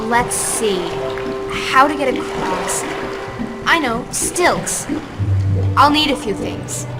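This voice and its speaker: A resourceful teenage girl in a video game. Her voice is high and earnest, each line clearly spoken over loud music. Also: lively intonation, takes it slowly. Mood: determined. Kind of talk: video game cutscene acting.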